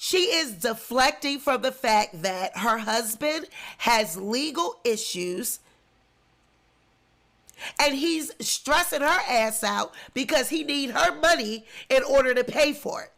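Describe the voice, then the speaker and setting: A woman's voice: high voice, lively intonation, takes it slowly, gravelly, a bit bright. A congested woman with the flu giving indignant, emphatic celebrity commentary in a vlog. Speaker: commentary vlogger.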